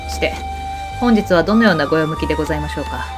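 A man's voice: fairly high pitch, animated, racing, music in the background, close to an everyday voice. A man playing a dutiful commander of old imperial police officers, speaking formally and deferentially in a Japanese period audio drama. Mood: formal, respectful. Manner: formal, deferential samurai-era speech.

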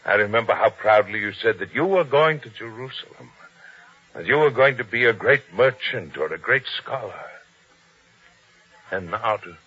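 An actor playing a humble, honest village innkeeper, earnest and hesitant, in the manner of old-time radio drama. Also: male voice, fairly low pitch, expressive, moderate pace, breathy edge, highs cut off. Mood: wistful, troubled.

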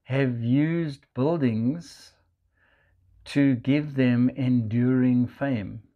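A man with a South African accent, preaching a measured sermon and quoting scripture. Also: medium pitch, narrow, tinny audio. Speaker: pastor.

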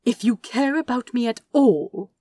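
A fussy, stubborn old male angel , put on by a woman narrator, coaxing in a prim, soft-spoken way. He pitches a little above her narrating voice. Dry, close recording. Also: animated, a little slow, husky, no echo. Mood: coaxing, insistent.

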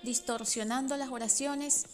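Woman, forties, Venezuelan Spanish accent, personal essay reading, reflective, somewhat high-pitched. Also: fairly even intonation, faint music behind. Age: 40s. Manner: reflective, measured, with soft laughs. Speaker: podcast host and writer.

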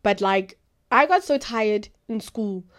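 A young woman hosting a podcast, talking about faith in a chatty, rambling way full of fillers, with a naturally deep voice. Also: expressive, slow pace. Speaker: podcast host. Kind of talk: casual faith talk.

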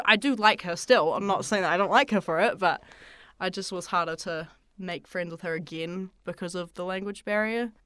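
A woman's voice: on the low side, fairly bright tone, dry recording. A young woman with a New Zealand accent, chatting casually on a student podcast, giggling often and filling her speech with "like". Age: young adult. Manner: chatty, giggly, lots of "like" fillers.

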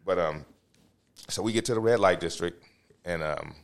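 A man's voice: deep voice, moderate pace. A man in his fifties telling crude stories on a casual podcast, rambling and restarting his phrases. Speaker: podcast co-host. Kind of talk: casual chat, crude storytelling.

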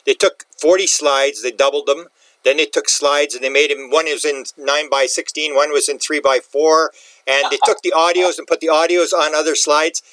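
A man's voice: on the high side, steady tone, moderate pace, a bit bright, no room sound, low-quality recording. A man in a casual discussion, speaking informally and repeating himself mid-sentence as he asks and explains. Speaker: interview participant asking questions.

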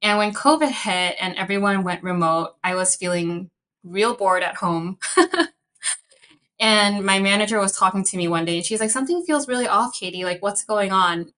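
A woman's voice: mid-range voice, normal speed, bright, forceful tone. A young woman from Chicago with an American accent, guest on a podcast, chatty and upbeat, laughing often as she talks. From Chicago, USA. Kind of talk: casual interview conversation.

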